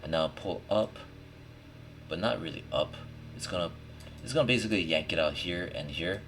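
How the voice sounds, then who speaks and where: A man giving a casual software tutorial, thinking aloud informally as he works and often checking in with the listener. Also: deep voice, lively intonation, normal speed. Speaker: 3D modelling tutorial instructor. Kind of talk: casual screen-recorded software tutorial, talking while working. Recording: clearly noisy, with keyboard and mouse clicks. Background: background noise, typing and clicking.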